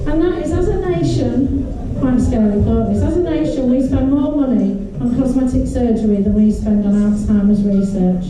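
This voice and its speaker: Manchester woman in her 40s doing deadpan stand-up, fairly high voice, booming room, noisy. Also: moderate pace, few pauses. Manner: dry, deadpan delivery with crude punchlines. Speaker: stand-up comedian. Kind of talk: stand-up comedy set. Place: comedy club stage. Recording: echoey club room, distant mic.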